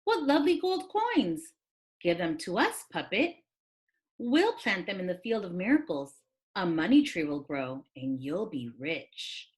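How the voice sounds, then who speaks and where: A woman's voice: lowish voice, very animated, unhurried, pitched below the performer's own voice. Woman voicing a sly, greedy storybook swindler animal. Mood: sly coaxing. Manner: coaxing, scheming. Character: sly, greedy swindling fox and cat duo. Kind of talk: storybook character reading.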